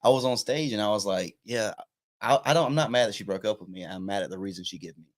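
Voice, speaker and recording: Young male comic guest, twenties, lowish voice, laughs at his own stories. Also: fast talker, dry recording, clean studio sound. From South Carolina. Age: 20s. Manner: self-deprecating, laughing storyteller. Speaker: guest comedian. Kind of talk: casual podcast chat.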